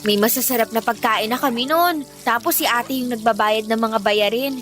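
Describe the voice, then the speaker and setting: Radio drama actress as hard-up Filipina teenage working girl, candid emphatic talker, highish voice, music underneath. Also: moderate pace, fairly bright tone, close to an everyday voice. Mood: confiding. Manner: conversational, emphatic storytelling. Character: eighteen-year-old working girl, candid, worn by family hardship. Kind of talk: Tagalog radio drama acting. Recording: music bed under the dialogue.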